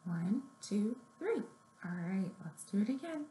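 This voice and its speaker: A woman's voice: mid-range voice, sing-song, unhurried, dark tone. A woman in her thirties hosting a children's story time, giving simple, encouraging instructions to little kids. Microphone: webcam or laptop at arm's length. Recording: roomy echo, noticeable background noise, webcam sound.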